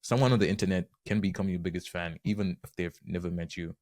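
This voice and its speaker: A man's voice: low voice, quick pace, darkish tone, no room sound, studio quality. A young man from Zimbabwe, a guest on a podcast, talking in an easygoing, earnest way with many fillers. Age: young adult. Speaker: guest, penetration tester. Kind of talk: casual podcast interview.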